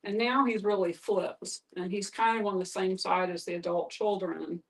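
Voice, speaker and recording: A woman's voice: mid-range voice, steady tone, normal speed. A woman facilitating a conversational group discussion, inviting others in with open questions. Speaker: guest facilitator, therapist. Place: live group gathering in a room. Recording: distant, off-mic pickup.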